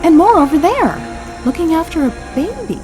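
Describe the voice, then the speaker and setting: A woman playing a reluctant, apprehensive young daughter in an audio drama, expressive and a little wry. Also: very high-pitched, moderate pace, over music. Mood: alarmed. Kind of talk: full-cast audio drama acting.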